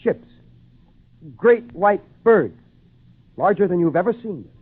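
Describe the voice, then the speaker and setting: A man's voice: sits high, expressive, slow pace, slightly rough, heavily acted. A man playing a kindly, lonely English castaway in an old-time radio drama, with patient, theatrical phrasing. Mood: wistful, kindly. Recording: tinny narrow-band old radio recording.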